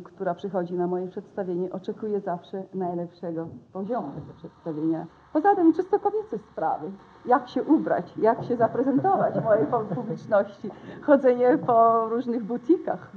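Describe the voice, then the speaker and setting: A middle-aged woman, an opera singer, answering interview questions thoughtfully with pauses, in an ornate palace room. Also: medium pitch. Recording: tinny lo-fi 1970s TV sound with faint hiss.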